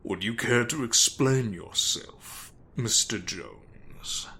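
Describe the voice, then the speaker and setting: A man playing a slow, commanding mob boss. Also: medium pitch, gravelly, full character voice. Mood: cold authority. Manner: measured, formal, quietly threatening. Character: commanding, coolly formal senior mob boss. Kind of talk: dramatic audio role-play acting.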